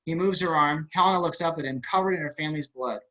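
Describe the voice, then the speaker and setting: A man's voice: fairly high pitch, fast talker, pushed and bright. A man narrating at a table read, reading out screenplay stage directions over a video call. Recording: slightly dull video-call audio.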